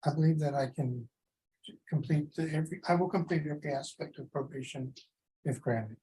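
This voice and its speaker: A man's voice: fairly high pitch, fairly quick, warm tone. A middle-aged American man, a defendant making a formal plea in a courtroom. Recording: clearly noisy courtroom recording.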